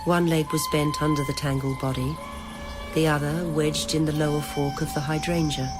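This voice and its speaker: A woman narrating a literary story in a measured, deliberate, dramatic style. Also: sits low, little pitch movement, over music.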